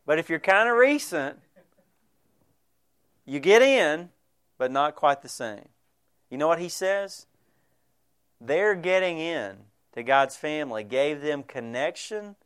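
A man's voice: on the high side, lively intonation, slow pace, pauses often, a bit bright, clean tone. A male pastor preaching a sermon, earnest and direct, addressing the congregation with frequent rhetorical questions.

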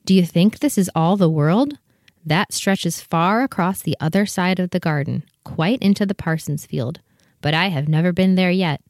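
Storybook mother duck, played by a woman: weary, talkative, lower-set female voice, closely recorded and dry. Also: normal speed, darkish tone, no echo, close to an everyday voice. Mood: proud, chatty. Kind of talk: storybook full-cast dramatisation.

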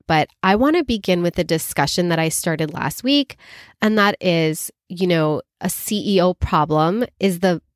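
A woman's voice: lowish voice, no echo. Nasal woman podcast host, dry sound. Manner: chatty and enthusiastic, with fillers like "you know". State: slightly scratchy, recovering from illness. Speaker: podcast host and business coach. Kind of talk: casual solo podcast talk.

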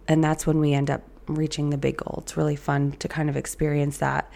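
A woman hosting her own podcast in a casual, chatty way, her voice raspy and hoarse after an illness, with many ums and restarts. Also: sits low, little pitch movement, a little fast, warm tone. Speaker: podcast host. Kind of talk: casual solo podcast talk.

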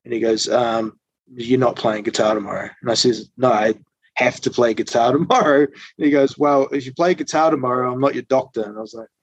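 A relaxed man chats as a musician guest, drifting through stories with plenty of ums. The sound is dry and a little dull, with no room around it. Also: mid-range voice, a little fast, breathy edge, dry recording. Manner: relaxed storytelling with frequent ums and swearing. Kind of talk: casual interview chat. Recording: slightly dull dry recording.

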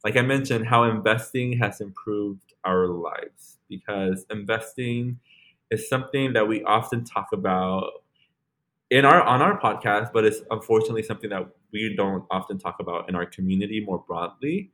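A man's voice: on the low side, moderate pace, fairly bright tone, low-quality recording. A man in his late twenties co-hosting a podcast, chatting casually and rambling with lots of fillers and restarts. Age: 20s. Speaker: podcast co-host. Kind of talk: casual chat.

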